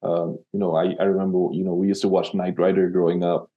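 A man's voice: low-pitched, little pitch movement, quick pace, phone-quality sound. A man from Sri Lanka, a copywriter guest in an interview, talking casually and rambling with many fillers and restarts.

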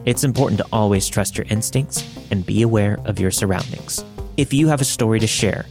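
Male horror podcast narrator with a lowish voice, scripted delivery, soft music underneath. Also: brisk. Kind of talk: scripted narration of listener-submitted horror stories.

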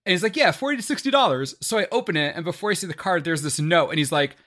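A man telling stories in casual podcast chat, excitable and repeating words for emphasis. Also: high voice, quick pace. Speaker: guest, charity streamer.

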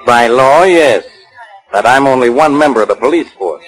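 An actor playing a gruff, bossy, exasperated police inspector with curt commands, in 1940s radio acting style. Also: male voice, mid-range voice, lively intonation, normal speed, close to an everyday voice, poor audio. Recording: old 1940s radio recording with music.

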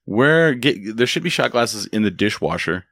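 A man's voice: fairly low pitch, big pitch swings, normal speed, gravelly, a bit bright, no room sound, clean studio sound. A man hosting a podcast, joking around in casual chat and slipping into silly voices. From Texas. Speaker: podcast host. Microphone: studio microphone.

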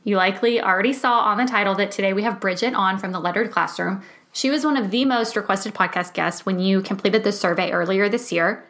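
A woman's voice: medium pitch, a little fast, a bit bright. Lady hosting a teacher podcast, upbeat and chatty, says y'all a lot. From Texas. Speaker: podcast host. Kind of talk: podcast intro and interview.

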